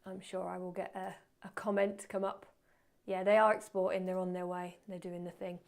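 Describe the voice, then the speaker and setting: A woman teaching photography in a live webinar, chatty and self-deprecating, muttering quietly to herself as she works at her computer. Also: on the low side, flat delivery, normal speed, creaky. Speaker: photography instructor. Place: indoors at a computer.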